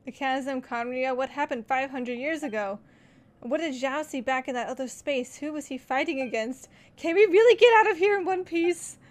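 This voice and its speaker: A woman voicing an excitable, worried small companion while reading out dialogue in a game playthrough. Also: high-pitched, moderate pace, close to an everyday voice.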